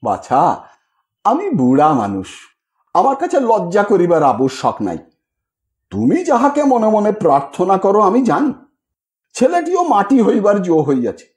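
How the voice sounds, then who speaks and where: A man playing a shrewd old gentleman, swooping pitch. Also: wildly swooping pitch, measured pace, pauses often, heavily acted. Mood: coaxing. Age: older. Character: shrewd old Bengali gentleman, coolly calculating, self-righteous schemer.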